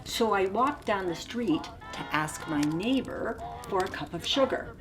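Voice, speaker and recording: A woman's voice: medium pitch, lively intonation, unhurried, music in the background, natural voice, poor audio. A woman reading a storybook as a wily male wolf who chattily and theatrically defends himself. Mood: storytelling, innocent. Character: wily, self-justifying male wolf telling his side. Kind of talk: storybook read-aloud in first person.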